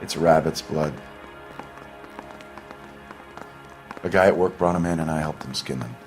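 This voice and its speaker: Male, cornered mechanic under interrogation in a game. Low register, defensive, halting, pleading. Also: faint music behind. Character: anxious, defensive working-class mechanic, male murder suspect. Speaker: video game voice actor. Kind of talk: dramatic interrogation acting.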